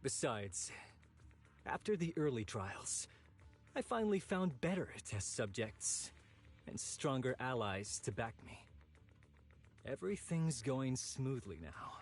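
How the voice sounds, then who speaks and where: An actor playing an ambitious, ruthless zealot in a video game, husky-voiced, bitter and taunting in a theatrical way. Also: male voice, mid-range voice, sing-song, slow, pauses often, faint music behind. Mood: dismissive, smug. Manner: theatrical, taunting, sneering villain delivery. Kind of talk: dramatic video game voice acting.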